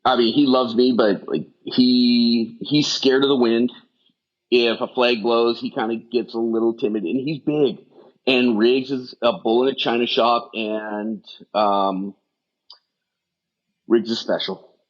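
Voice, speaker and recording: Husky man, rapid rambling talk. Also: mid-range voice, steady tone. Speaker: guest, firefighter rehab specialist. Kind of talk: casual podcast conversation. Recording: dull lo-fi audio.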